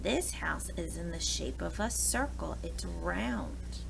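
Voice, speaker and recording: Slow, animated woman reading to young children. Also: fairly low pitch. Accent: American. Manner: expressive, addressing young children with questions and asides. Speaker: preschool teacher. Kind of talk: read-aloud of a children's picture book. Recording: clear background noise.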